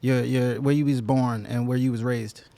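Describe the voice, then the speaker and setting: A man's voice: medium pitch, steady tone, moderate pace, vocal fry, dark tone, no room sound. Laid-back male podcast host, dry sound. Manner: laid-back, friendly questioning, says "man" often. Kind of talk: casual interview hosting.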